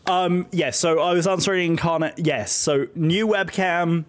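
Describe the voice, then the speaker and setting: Hoarse British man streaming, higher voice. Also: fairly even intonation, measured pace. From Britain. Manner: chatty and effusive, thanking viewers. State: hoarse, voice still recovering. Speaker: live streamer. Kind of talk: casual live-stream chat with viewers.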